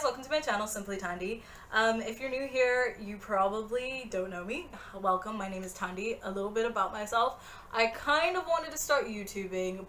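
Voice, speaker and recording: A woman's voice: medium pitch, fairly bright tone, slight background noise. A woman in her twenties talking earnestly to the camera in a motivational vlog, direct and encouraging. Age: 20s. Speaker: vlogger.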